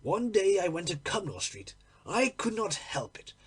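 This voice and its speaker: High-pitched, animated man reading a story. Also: measured pace, slightly rough. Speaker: audiobook reader. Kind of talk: dramatic literary story narration.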